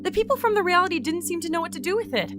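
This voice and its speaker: A woman's voice: very high voice, animated, rapid-fire, music in the background. A woman playing a brash, inquisitive young field agent in an audio drama, talking informally and with animation. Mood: defensive, irked.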